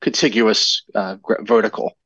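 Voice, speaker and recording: A man's voice: lowish voice, flat delivery, normal speed, fairly bright tone, slightly rough, dry recording. A man calling in to a show, asking questions hesitantly with many fillers and restarts, heard over muffled call audio. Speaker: caller.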